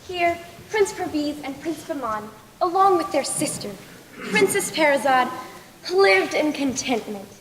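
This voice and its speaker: A young woman playing a composed, clever storyteller queen in a stage play, speaking in a measured, formal way. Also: sits high, animated. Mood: narrating calmly. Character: Scheherazade, composed clever queen telling stories to a sultan. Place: school stage play in a large hall. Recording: echoey hall, clear background noise.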